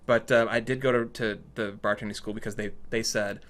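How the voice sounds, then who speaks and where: Man telling a casual, animated anecdote. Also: fairly low pitch, a little fast. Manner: animated storytelling, acts out reported dialogue. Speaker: storyteller in conversation.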